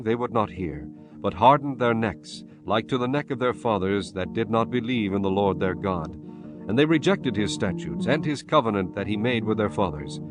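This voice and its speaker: A man narrating a dramatized Bible audiobook in a solemn, measured, formal way. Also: lowish voice. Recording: soft music bed under the voice.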